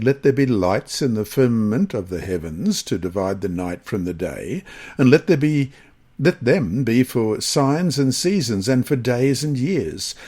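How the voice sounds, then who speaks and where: A man with a slightly husky voice reads a scripted Bible study lesson in a measured, deliberate manner. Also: medium pitch, darkish tone. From Australia.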